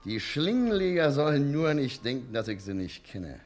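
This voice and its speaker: An actor in a classic German radio play, playing a brash, spiteful, self-satisfied informer with a boastful, defiant manner. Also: male voice, mid-range voice, sing-song, moderate pace, faint music behind, natural voice. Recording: noisy old radio recording with ambience.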